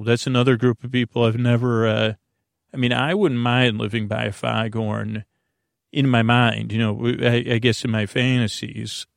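A man with a gravelly, creaky voice, recorded very dry and close-sounding. He talks as a bedtime podcast host, drifting through halting, folksy tangents at a middling pitch. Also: no room sound. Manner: meandering, halting, digressive, folksy. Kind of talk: rambling bedtime monologue.

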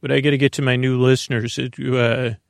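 A man with a creaky voice hosting a bedtime podcast, meandering through halting, stammered tangents. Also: mid-range voice, little pitch movement, no room sound. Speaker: podcast host. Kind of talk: rambling bedtime podcast intro.

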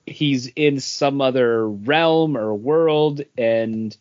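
A man's voice: medium pitch, very slow, a little mellow, no room sound. A man co-hosting a podcast in casual, conversational chat. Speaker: comics podcast co-host. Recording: dull, dry lo-fi audio.

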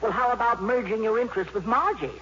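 An actress playing a brisk, wry, loving old grandmother in a 1950s radio comedy. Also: fairly high pitch, fairly bright tone, husky. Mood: wry, bossy. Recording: muffled, noisy old radio broadcast.

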